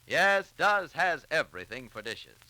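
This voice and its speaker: A man with an American accent announcing and pitching in 1940s radio style, emphatic and chummy. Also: big pitch swings, measured pace, pushed and bright, no room sound. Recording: vintage 1940s radio recording.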